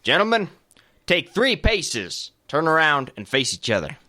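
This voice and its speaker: A man's voice: fairly high pitch, big pitch swings, measured pace, sharp tone, heavily acted. A man voicing a showy duel referee in a comedy radio skit, announcing ceremoniously. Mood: officious.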